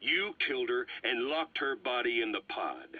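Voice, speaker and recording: A man's voice: medium pitch, very animated, normal speed. A man acting a cold military interrogator in a game drama, pressing with sardonic, contemptuous accusations. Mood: contemptuous, accusing. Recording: dull, muffled game cassette audio.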